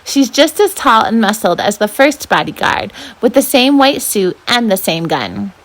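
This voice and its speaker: A woman reading aloud as a volunteer storyteller, with an expressive, dramatic delivery. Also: fairly high pitch, normal speed. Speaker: volunteer children's book reader.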